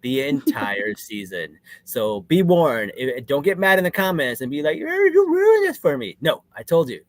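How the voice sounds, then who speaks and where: A man from New Jersey hosts a casual podcast, joking and laughing as he goes. His pitch swings around a lot in an animated way. The recording is dry and close. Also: moderate pace, no echo. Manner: jokey, self-deprecating, laughs often. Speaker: podcast host.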